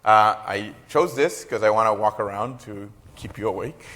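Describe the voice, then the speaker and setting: A man's voice: fairly low pitch, moderate pace, fairly bright tone. A man of around forty from Rica, giving a keynote lecture with a lapel mic. He speaks hesitantly, with many fillers and small jokes. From Costa Rica. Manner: hesitant, filler-heavy, joking. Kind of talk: academic lecture. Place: large lecture hall. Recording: long reverb in a lecture hall.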